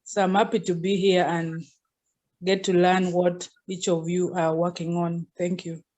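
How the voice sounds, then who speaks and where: A woman's voice: fairly low pitch, level tone, unhurried, a little mellow. A woman from Uganda, a program manager, politely introducing herself on a video call.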